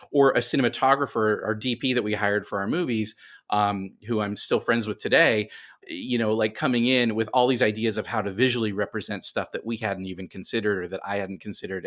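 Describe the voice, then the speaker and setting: A man's voice: lowish voice, a bit bright. A middle-aged man speaking as a podcast guest, telling his story conversationally and often doubling back on himself as he explains.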